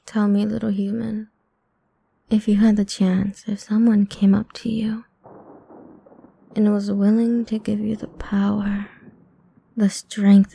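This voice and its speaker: A very dark, breathy vampire woman, acted by a female ASMR performer, speaks slowly with a creaky edge. She toys with her prey, condescending and cruel, often slipping toward a taunting half-whisper. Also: medium pitch, dry recording, heavily acted. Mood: haughty, tempting. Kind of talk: improvised ASMR roleplay.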